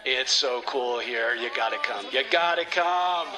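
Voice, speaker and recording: A man playing a casual, chummy, excitable time traveler leaving messages in comedy radio theater. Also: mid-range voice, a little slow, close to an everyday voice. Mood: excited. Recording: music playing behind the voice.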